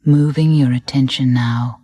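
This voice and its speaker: Slow, breathy low-voiced woman guiding relaxation. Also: fairly even intonation, no echo. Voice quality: soft, breathy. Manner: soothing, deliberate, gentle phrasing with long pauses. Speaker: relaxation guide. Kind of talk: guided meditation narration. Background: music.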